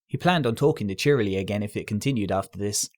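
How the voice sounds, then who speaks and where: A man reading a scripted story aloud as narrator, in an expressive, earnest and dramatic storytelling style. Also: lowish voice, fast talker, no room sound, clean studio sound.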